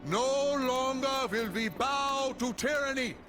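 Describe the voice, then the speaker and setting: An actor playing an earnest rebel leader in a fantasy game, speaking in a solemn, formal, oratorical way. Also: male voice, very high-pitched, slow pace. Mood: rousing, proclaiming. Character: earnest male rebel leader proclaiming a free city. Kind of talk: dramatic fantasy game dialogue. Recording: music behind the voice.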